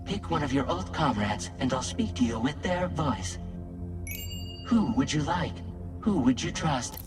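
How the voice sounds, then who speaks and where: An actor playing a mysterious faceless mannequin patron, polite, measured and persuasive, in a video game cutscene. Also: male voice, mid-range voice, animated, music in the background, natural voice. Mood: obliging, cool.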